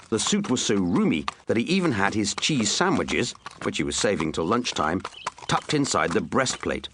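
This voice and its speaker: A man's voice: lowish voice, noisy background. A man narrating a comic children's story with dry, wry asides. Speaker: audiobook narrator.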